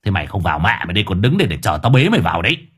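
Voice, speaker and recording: Gruff older male exorcist performed by a man narrating, low and husky, sarcastic, coarse, short on patience. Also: very animated, rapid-fire, exaggerated voice. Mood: irritated. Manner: brusque, mocking, coarse.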